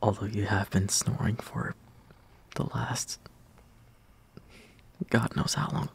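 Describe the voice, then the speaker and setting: A young man performing an intimate roleplay monologue as a lovestruck, insecure friend, in a hesitant, breathy half-whisper that trails off. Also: on the low side, flat delivery, slow. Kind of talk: soft intimate roleplay monologue, partly improvised.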